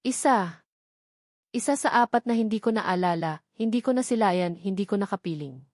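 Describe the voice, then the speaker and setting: A woman's voice: medium pitch, expressive, normal speed, darkish tone, no room sound. Female narrator for scripted first-person drama, close dry recording. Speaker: story narrator.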